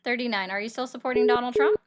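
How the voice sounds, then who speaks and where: A woman hosting a political call-in livestream, brisk and sardonic, often cutting callers off. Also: a little high. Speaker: livestream host taking calls.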